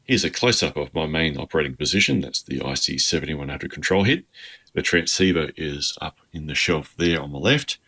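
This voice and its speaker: A man with an Australian accent narrates an informal how-to tour. His voice is very deep yet has a bright, forward edge. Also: lively intonation, fairly quick. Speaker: amateur radio hobbyist.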